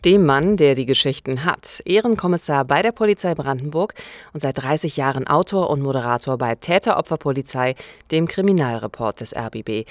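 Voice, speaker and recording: Woman in her thirties hosting true crime. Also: low voice. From eastern Germany. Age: 30s. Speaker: true-crime podcast co-host. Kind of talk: conversational podcast narration. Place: wood-panelled radio studio. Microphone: close studio mic with pop filter.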